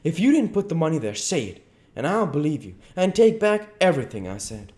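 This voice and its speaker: A man's voice: a little high, big pitch swings, brisk, darkish tone, voice pushed up. A man voicing a blustering old con man with folksy rural speech in a dramatised storybook reading. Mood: wheedling protest.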